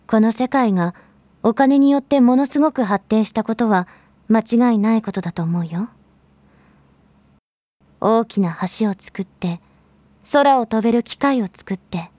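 A woman playing a mysterious, pensive narrator in a Japanese audio drama monologue, addressing the listener in an earnest, intimate, measured way. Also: fairly high pitch, warm tone, dry recording, close to an everyday voice. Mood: reflective, questioning.

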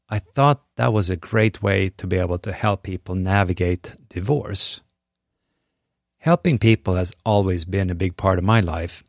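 A middle-aged man with a Swedish accent and a low, deep voice delivers a scripted podcast monologue. He talks in an earnest, measured, encouraging way, close and dry with no room sound. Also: no room sound. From Sweden. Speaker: podcast host.

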